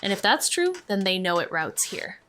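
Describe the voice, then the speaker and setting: An actress playing a level-headed, analytical amateur detective in a noir audio drama, speaking in a measured, matter-of-fact way. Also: female voice, medium pitch, very animated, pushed and bright. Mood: methodical.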